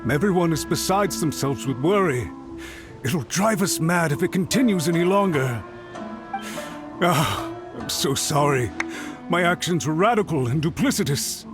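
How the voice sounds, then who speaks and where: A man's voice: a little high, animated, moderate pace, lightly acted. A man voicing a contrite, pleading official. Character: anxious, apologetic adult male official. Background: music.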